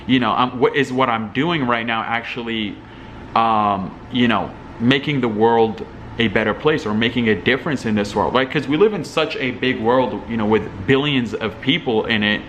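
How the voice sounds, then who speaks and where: A man's voice: medium pitch, normal speed, fairly bright tone, slight background noise, low-quality recording. A young man from Algeria, an entrepreneur vlogger, talking casually and rambling with many fillers and restarts. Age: young adult. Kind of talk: casual talking-head commentary.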